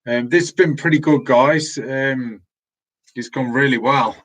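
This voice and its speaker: Brief: man, live stream host, voice going hoarse, chatty and rambling, laptop mic, mid-range pitch. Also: a little slow, fairly bright tone. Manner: rambling, restarts often, chatty. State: losing his voice. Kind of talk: casual live stream sign-off chat.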